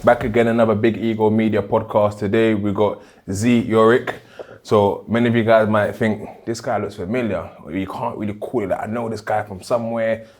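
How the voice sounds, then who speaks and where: Guy doing a laid-back podcast interview, voice on the low side. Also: level tone, normal speed, darkish tone, faint music behind. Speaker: podcast host. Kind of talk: casual interview.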